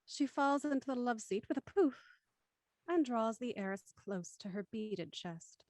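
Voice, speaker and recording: A woman with a fairly high voice reads audio drama narration in a soft, measured way. The sound is dry and close, with no room around it. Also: animated, no room sound.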